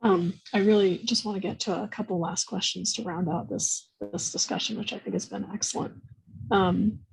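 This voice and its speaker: A woman with a gravelly, slightly husky voice moderates a panel over a video call. She reads audience questions aloud in a measured, orderly way. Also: mid-range voice, fairly even intonation, low-quality recording.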